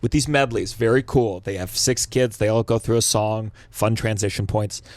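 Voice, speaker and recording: A man's voice: fairly low pitch, moderate pace, no echo. A man hosting a podcast, chatting casually as a comedian and telling stories with swearing. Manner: animated, swearing storyteller. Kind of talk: casual chat.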